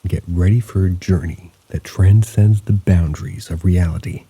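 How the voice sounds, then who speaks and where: A man hosting a channel of paranormal stories, reading listener accounts aloud in a measured, low-key storytelling manner. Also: steady tone, warm tone, breathy edge. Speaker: host and narrator.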